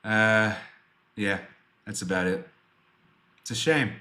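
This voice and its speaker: A bright, forward male voice in his twenties with a roomy echo around it. He talks casually to livestream viewers as a game developer, rambling through answers and poking fun at himself. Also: lowish voice, slow pace. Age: 20s. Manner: rambling, self-deprecating.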